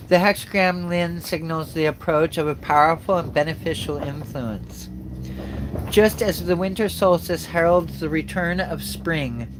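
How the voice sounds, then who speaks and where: A man with a blocked, stuffy nose from a cold leads a spiritual livestream, with a slightly high voice. He talks slowly and haltingly, full of fillers and restarts, and he reads passages aloud in the same unhurried way. A faint background noise sits behind him. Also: poor audio. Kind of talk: slow reflective spiritual talk and reading aloud.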